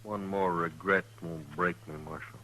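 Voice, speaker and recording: An actor playing a guarded, fatalistic frontier station keeper in an old-time radio western, with an American accent and a terse, folksy, stubborn manner. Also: male voice, low-pitched, steady tone, normal speed, very noisy, lightly acted.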